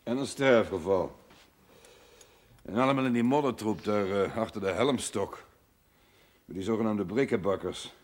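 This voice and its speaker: A man's voice: on the low side, moderate pace, long pauses, natural voice. A man acting a brusque village doctor in a period television drama, articulate, condescending and dryly witty. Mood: dryly jovial. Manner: dry, sardonic, articulate.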